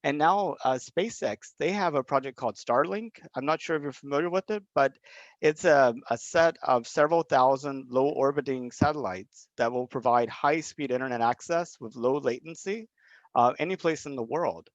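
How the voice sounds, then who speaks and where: Man, from Southeast Texas, casual interviewer, hesitant rambling talk, medium pitch, dry dull lo-fi sound. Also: moderate pace, no echo. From Southeast Texas, USA. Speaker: interviewer running a video project. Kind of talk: casual unscripted conversation. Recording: dull lo-fi call audio.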